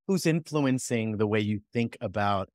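A man in his forties with a lowish voice hosts a video interview through his headset. The sound is dry and close, and he asks his questions haltingly, with plenty of fillers. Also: a little mellow, no echo. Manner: hesitant, filler-heavy questioning. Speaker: interview host. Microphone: over-ear headset.

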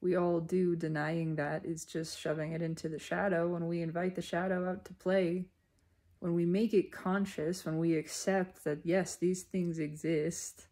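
Female solo speaker for introspective spiritual musing; lowish pitch, very unhurried delivery, deliberate pauses between short phrases. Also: little pitch movement, mellow. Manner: deliberate, pausing mid-sentence in short phrases. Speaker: spiritual self-reflection vlogger. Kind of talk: slow reflective spiritual monologue.

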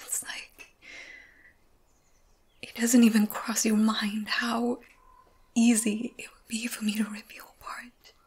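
A woman playing a young, tormented, lovesick vampire in an ASMR roleplay, breathy and often whispering, halting and intense. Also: a little high, flat delivery, a little mellow, exaggerated voice. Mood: pained, menacing. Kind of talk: ASMR roleplay, dramatic monologue.

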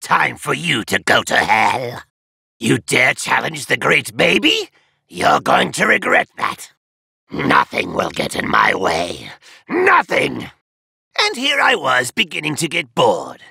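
A sadistic alien tyrant from an anime battle game. The voice is gravelly and sharp-edged, delivered slowly and grandly. He taunts and threatens like one who expects the universe to kneel, often rising to a shout. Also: medium pitch, huge pitch swings, dry recording, clean studio sound, exaggerated voice. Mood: menacing, then furious, commanding. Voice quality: raspy, gravelly. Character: arrogant, sadistic alien parasite conqueror, male, gloating villain. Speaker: video game villain.

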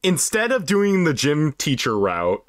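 A man's voice: on the high side, wildly swooping pitch, measured pace. A man giving casual, foul-mouthed gameplay commentary among friends. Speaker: gaming video host.

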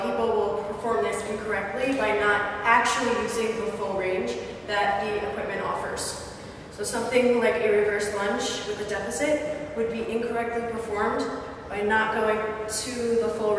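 A young woman, a fitness trainer, calmly explaining exercise technique as she demonstrates. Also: medium pitch, background noise. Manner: clear, steady coaching while demonstrating exercises. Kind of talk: instructional demonstration. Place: large gym with hard walls. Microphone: far camera. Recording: echoey gym from far off.

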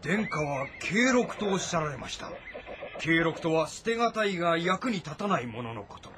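A man's voice: fairly high pitch, very animated, normal speed, noisy background. An actor playing a grave, calculating man of rank in an ancient Chinese historical drama. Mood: explanatory. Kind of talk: Japanese historical audio drama acting.

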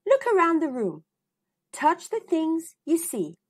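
A woman's voice: extremely high pitch, huge pitch swings, takes it slowly, darkish tone, voice pushed up, full character voice. A woman voicing a kind, encouraging schoolteacher who instructs young children in a storybook.

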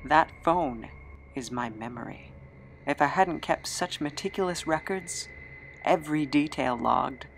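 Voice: mid-range voice, fairly bright tone, slight background noise. An actor playing a sardonic, embittered immortal with a fractured memory in an audio drama, flippant and wry in manner. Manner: flippant, wry, breathy asides and chuckles.

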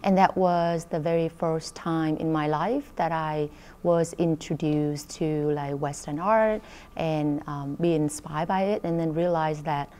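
A woman with a Vietnamese accent giving reflective interview answers as an artist, thoughtful and halting. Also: low voice, fairly even intonation, warm tone, a little hiss or hum. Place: large indoor museum gallery. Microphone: lapel. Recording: reverberant gallery room.

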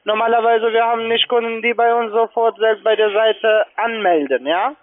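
A man's voice: high voice, steady tone, normal speed. A man with a foreign accent, not a native German speaker, working as a call-centre agent and questioning a customer in a pushy sales call. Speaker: call-centre agent selling online trading. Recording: narrow, tinny phone line.

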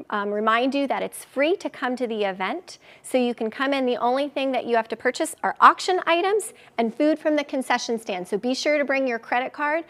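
A woman's voice: sits high, fairly bright tone. Upbeat woman guest on a TV news set. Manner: upbeat, eager, promotional. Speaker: charity spokesperson, studio guest. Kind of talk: live TV studio interview. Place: TV news studio. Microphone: lapel mic.

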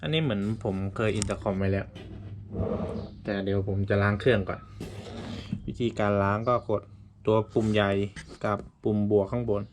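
A man's voice: lowish voice, steady tone, normal speed, long pauses, noisy background. A man giving a casual hands-on tutorial, explaining informally step by step in short phrases. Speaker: vlogger giving a product tutorial.

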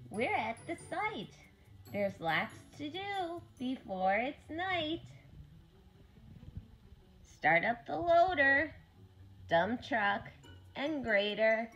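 High-pitched, lively woman reading a picture book. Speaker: children's story-time reader. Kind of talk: animated read-aloud of a rhyming picture book.

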